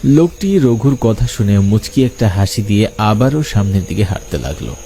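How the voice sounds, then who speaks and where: A man's voice: lowish voice, lively intonation, moderate pace, warm tone, a little hiss or hum. A man narrating a scripted horror story as storyteller, with night crickets behind him.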